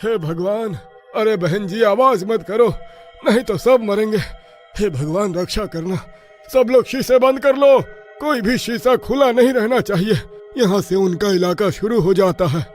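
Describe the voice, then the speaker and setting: A man's voice: sits high, a little slow, soft background music. A man playing a nervous, bossy village bus driver in a horror comedy story, scolding and warning anxiously.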